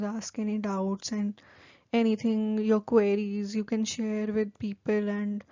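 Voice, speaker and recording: Young Indian woman, Hindi native, informal and full of fillers; flat tone, dull lo-fi recording. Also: medium pitch, no echo. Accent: Indian English. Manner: chatty, many "like" fillers, informal. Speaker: vlogger giving career advice. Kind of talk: casual advice talk to camera.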